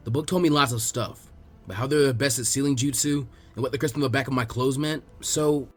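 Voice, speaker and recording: A man reads as a young boy trainee in an adventure story. He is blunt and easygoing, talking casually with a husky edge. Faint music plays behind him. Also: medium pitch, fairly quick, close to an everyday voice. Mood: subdued, curious.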